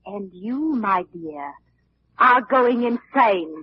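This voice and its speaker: A vengeful killer in a vintage radio thriller, icy and controlling. Her husky voice gives orders with deliberate menace, through a dull old broadcast recording. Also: medium pitch, expressive. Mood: cold, menacing. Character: cold, domineering, vengeful murderess. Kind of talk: 1940s radio thriller acting.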